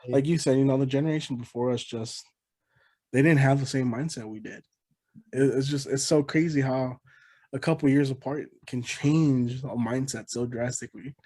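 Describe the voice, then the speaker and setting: A young man in his late twenties hosting a relaxed podcast conversation. His voice is warm and dark, recorded dry and close with no room sound. He talks in an easygoing, rambling way, often backing up to restart a sentence. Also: mid-range voice, moderate pace, no echo. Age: 20s. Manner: laid-back, agreeable, rambling restarts. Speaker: podcast host. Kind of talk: casual interview chat.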